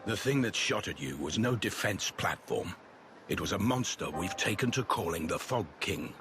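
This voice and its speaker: An actor playing a stern, weary soldier of a fallen capital in a video game, speaking formally. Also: male voice, deep voice, lively intonation, moderate pace, background noise. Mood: stern warning. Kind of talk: video game cutscene acting. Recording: game music behind.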